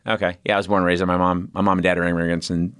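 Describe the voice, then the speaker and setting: A man's voice: low-pitched, level tone, very fast, fairly bright tone, clear, resonant tone, no echo, studio quality. A man from California hosting a podcast interview, talking casually with lots of restarts and the odd swear word. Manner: rambling, profane, many restarts. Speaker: podcast host.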